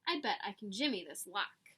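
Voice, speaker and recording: A woman's voice: mid-range voice, sing-song, moderate pace, sharp tone, slightly rough, pitched below the performer's own voice, full character voice. A woman voicing a bold, eager young female chick in a storybook, exclamatory and determined. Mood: defiant.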